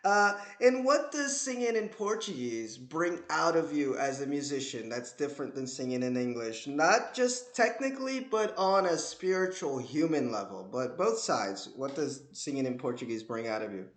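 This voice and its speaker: A man hosting an interview, asking questions and talking about songs in an animated, enthusiastic way. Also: medium pitch, normal speed, few pauses, echoey room. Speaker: podcast host. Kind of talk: casual interview.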